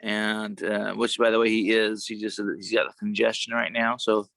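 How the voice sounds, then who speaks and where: A man chatting casually on a video call, with a worn, sometimes gravelly voice and a halting, rambling way of talking. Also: lowish voice, level tone, fairly bright tone, no room sound. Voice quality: gravelly at times. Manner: rambling, halting, many restarts. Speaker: show co-host.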